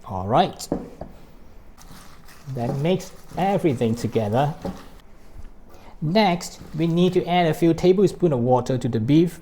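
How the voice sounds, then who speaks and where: Mellow-voiced Malaysian man teaching cooking. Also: fairly high pitch, expressive, measured pace, pauses often, a little hiss or hum. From born in Hong Kong, grew up in Malaysia. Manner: explanatory, step by step. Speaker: cooking show host. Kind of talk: recipe demonstration to camera.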